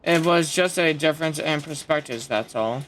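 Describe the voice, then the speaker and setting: A man's voice: on the high side, moderate pace, a bit bright, slight background noise. A man giving casual, informal reactions and commentary as he plays a video game. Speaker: streamer playing a video game.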